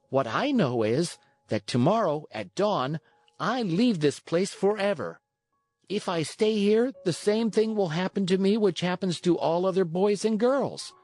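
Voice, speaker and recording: A narrator acts out a little wooden marionette boy from a storybook, pitched high and full of swoops. He is impudent, petulant and stubbornly defiant. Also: darkish tone, no echo. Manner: cheeky, petulant.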